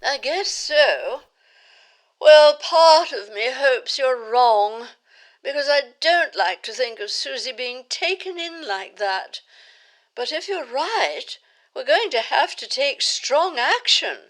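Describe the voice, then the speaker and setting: A woman acts out a concerned adult daughter in a radio play. Her high voice moves slowly and swoops expressively, hesitant and questioning. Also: expressive, a bit bright, exaggerated voice. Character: anxious, questioning grown-up daughter. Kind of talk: audio drama dialogue.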